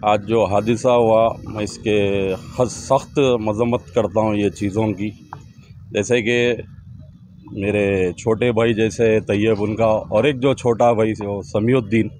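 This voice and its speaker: A man's voice: medium pitch, darkish tone. A middle-aged man making a formal, emphatic public appeal to the media, speaking outdoors into a cluster of press microphones. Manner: formal, emphatic, repeats demands. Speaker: community spokesman. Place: outdoors on a street before a crowd.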